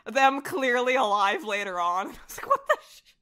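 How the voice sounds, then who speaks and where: A woman podcast guest chatting casually, telling stories in an animated, self-deprecating way with frequent laughter. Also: fairly high pitch, moderate pace, clear, resonant tone. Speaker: voice actor. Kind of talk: casual conversational chat about fandom.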